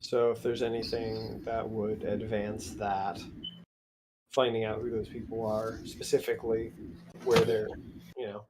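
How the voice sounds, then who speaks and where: A man's voice: fairly low pitch, flat delivery, a little slow. A man playing a tabletop game, casually and hesitantly piecing together what his character wants, over a noisy microphone. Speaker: tabletop roleplay player.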